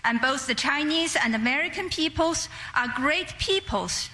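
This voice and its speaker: A woman's voice: sits high, animated, measured pace, bright, forceful tone. A woman interpreting formal diplomatic remarks in a careful, formal manner.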